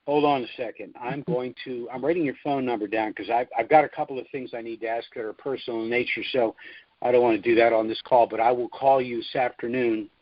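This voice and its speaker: A man hosting a call-in conference call, speaking off the cuff and haltingly over the telephone. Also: mid-range voice, steady tone, no echo. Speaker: call-in show host. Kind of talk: unscripted conference call talk. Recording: dull lo-fi conference phone line.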